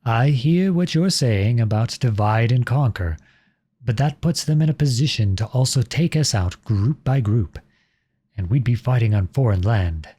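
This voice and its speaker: A man playing an outlawed young prince serving as a lowly soldier in a roleplay audio drama, speaking in a formal, measured, courteous and earnest way. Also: expressive, dark tone, natural voice. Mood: serious, strategic.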